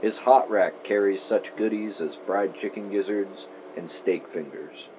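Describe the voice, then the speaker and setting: A man's voice: fairly low pitch, a little mellow, dull audio, a little hiss or hum, close to an everyday voice. An actor playing an earnest, inquisitive podcaster in his thirties in an audio drama, with a theatrical bent. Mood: moody, theatrical. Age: 30s. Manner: measured, theatrical when narrating. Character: earnest 32-year-old male podcaster, inquisitive, theatrical narrator. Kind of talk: audio drama, moody scripted narration and interviewing.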